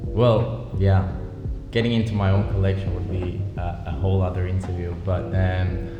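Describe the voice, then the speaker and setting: A man from Denmark, founder of a watch brand, speaking in a measured, thoughtful way in an interview in an office. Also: deep voice, flat delivery, dull audio. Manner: measured, thoughtful, many ums. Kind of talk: relaxed interview conversation. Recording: echoey office with music bed.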